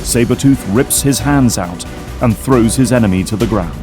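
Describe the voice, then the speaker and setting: A man's voice: mid-range voice, moderate pace. A man giving dramatic scripted narration for an audio drama. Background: dramatic music.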